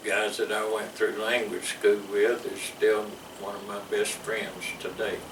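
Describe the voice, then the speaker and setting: A man's voice: fairly low pitch, flat delivery, unhurried. An older man with a Southern American accent, telling his service memories in a rambling, folksy way with chuckles between stories. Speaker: veteran interviewee. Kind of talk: recalling memories in an interview. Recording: echoey room, mic far off, clear background noise.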